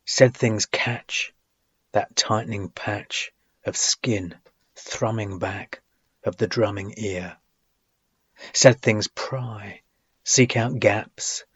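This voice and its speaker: A man giving a solemn poetry reading, deliberate and measured, with dramatic phrasing, long pauses and husky, breathy phrase endings. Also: lowish voice, no echo, muffled recording. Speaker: poet reading own work.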